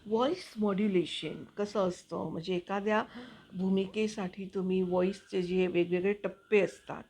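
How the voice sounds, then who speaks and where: A woman hosting her own channel, chatting to viewers in a casual, explanatory way with hesitant pauses as she finds her words. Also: mid-range voice, steady tone, darkish tone, breathy edge. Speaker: actor and video channel host.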